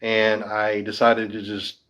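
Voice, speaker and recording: A man's voice: fairly low pitch, completely flat delivery, slow pace, fairly bright tone. A man in his fifties talking casually to camera about guitars, chatty and rambling with a self-deprecating streak. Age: 50s. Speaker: guitar teacher.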